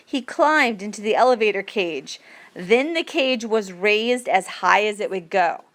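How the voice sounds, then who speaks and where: A woman reading an educational passage aloud as a teacher, with deliberate, measured phrasing for learners. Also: mid-range voice, animated, a bit bright. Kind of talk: read-aloud educational narration.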